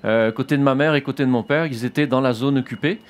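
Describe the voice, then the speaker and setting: A man in his fifties from northern France with a French accent, a military chaplain giving his testimony in church, pausing often with fillers. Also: medium pitch. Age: 50s. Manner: hesitant, many "euh" fillers and restarts.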